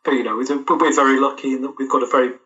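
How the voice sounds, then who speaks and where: Man, mature, bright forceful tone, thoughtful interviewee, slightly lo-fi sound. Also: medium pitch, little pitch movement, very fast. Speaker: audio drama producer, interview guest. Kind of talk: casual interview answers. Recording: dull, lo-fi call-like sound.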